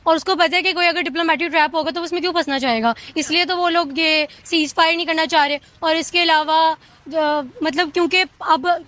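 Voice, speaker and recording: A woman's voice: very high voice, fairly even intonation, brisk, pushed and bright. A woman with a Pakistani accent giving off-the-cuff opinions in an interview, mixing in English words.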